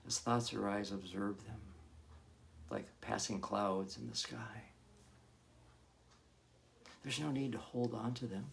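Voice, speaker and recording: A man leading a guided meditation as a hypnotherapist, giving gentle, soothing instructions with long pauses between phrases. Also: on the low side, steady tone, vocal fry, slight background noise.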